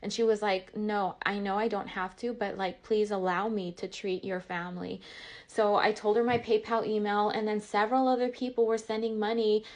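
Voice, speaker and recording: Woman, mid-pitched voice, candid personal vlogger venting with passion and emphasis, very conversational, heavy on "like". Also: fairly even intonation, normal speed. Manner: emotional, venting, says "like" constantly. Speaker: online content creator.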